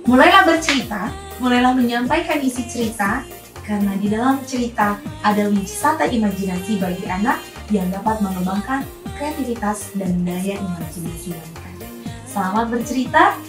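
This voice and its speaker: A woman narrating a children's story in an animated storyteller style, as the host. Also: mid-range voice, normal speed. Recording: roomy echo, far from mic, music behind.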